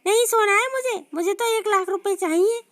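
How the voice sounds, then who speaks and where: A woman's voice: extremely high pitch, voice pushed up. Woman playing a nagging greedy new bride. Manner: nagging, dramatic, quick to complain. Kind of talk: comic sketch.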